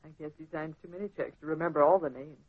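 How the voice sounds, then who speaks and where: A woman playing a sharp-tongued, teasing newspaper woman, quick to retort, in old-time radio drama. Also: low-pitched, natural voice. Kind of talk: 1940s radio acting. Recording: thin, tinny old radio recording.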